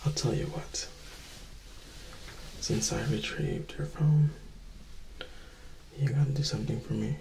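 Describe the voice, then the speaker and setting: A man in his twenties playing a charismatic, slyly menacing young vampire in a soft-spoken, whispered ASMR roleplay, teasing and hushed. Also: mid-range voice, vocal fry, reverberant, background noise. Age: 20s. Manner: slow, hushed, teasing, with lingering pauses. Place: small indoor room or hallway, close to camera.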